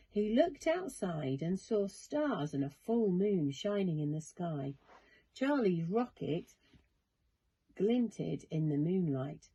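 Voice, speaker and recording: Female children's storyteller: unhurried, animated, mellow tone with a slightly husky edge. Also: on the low side. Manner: expressive, measured, dramatic. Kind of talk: children's bedtime story narration.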